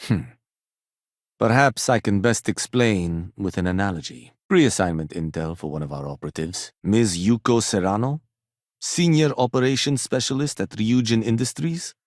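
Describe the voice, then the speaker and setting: A man's voice: on the low side, normal speed, no room sound, lightly acted. A man voicing a fussy, punctual corporate office worker in a video game, speaking precisely and formally with dry condescension. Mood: formal, guarded.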